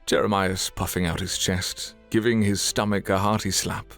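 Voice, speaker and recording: A man's voice: low-pitched, level tone, moderate pace, fairly bright tone, soft background music. A man narrating an audio drama in a scripted, dramatic storytelling style.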